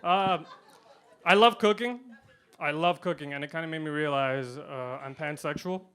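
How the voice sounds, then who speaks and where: A man doing a stand-up comedy set, delivering punchlines deadpan and swinging between loud and quiet. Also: on the high side, normal speed, slight background noise. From India.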